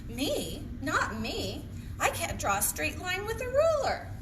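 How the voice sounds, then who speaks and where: A woman's voice: high voice, wildly swooping pitch, slow, full character voice. A woman reading a storybook voices a shy, admiring little boy who doubts himself and speaks earnestly. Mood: self-doubt. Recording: echoey room, distant mic, noisy background.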